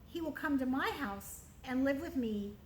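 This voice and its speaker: A woman's voice: high voice, expressive, a little slow, a little hiss or hum. A woman playing a decisive young princess. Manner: commanding, eager. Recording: roomy echo.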